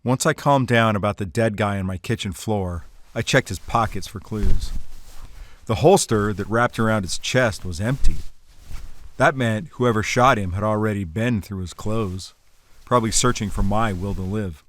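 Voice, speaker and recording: A man acting as a wisecracking, excitable hardboiled detective type in a noir audio drama, with slangy tough-guy talk. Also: fairly low pitch, moderate pace, close to an everyday voice. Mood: wry, deadpan. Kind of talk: hardboiled noir radio-play narration and dialogue.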